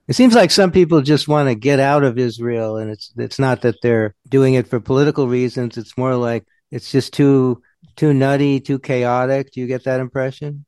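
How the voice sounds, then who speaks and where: Smooth-voiced male interviewer, dry sound. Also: medium pitch, normal speed, darkish tone, no room sound. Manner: probing, conversational questions. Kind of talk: radio interview.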